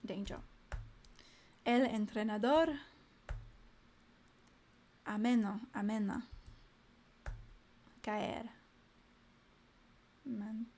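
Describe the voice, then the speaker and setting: A woman's voice: on the high side, darkish tone. A young woman on a casual live stream, reading vocabulary words aloud one by one, muttering asides to herself and chatting cheerfully with viewers. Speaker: language learner streaming live.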